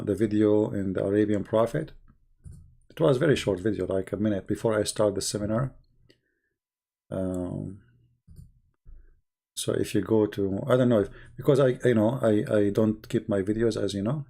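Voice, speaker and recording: Low, mellow man, non-native English speaker. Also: gravelly. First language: not English. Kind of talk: casual live stream chat and storytelling.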